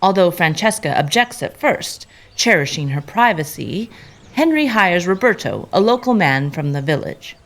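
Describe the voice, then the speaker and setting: Expressive lower-voiced woman narrating a story. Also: normal speed. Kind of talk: dramatic scripted storytelling narration.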